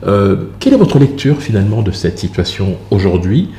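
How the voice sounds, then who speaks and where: A man hosting a television interview, putting polite, wordy questions to a guest in a measured, formal style. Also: on the low side, big pitch swings, mellow. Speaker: TV interview host. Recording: roomy echo, faint background noise.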